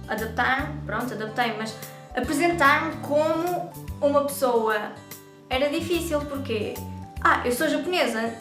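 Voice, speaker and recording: A woman's voice: high-pitched, echoey room. A young woman with a European Portuguese accent, talking casually to camera as a vlogger. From Brazil. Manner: chatty and talkative. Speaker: YouTube vlogger.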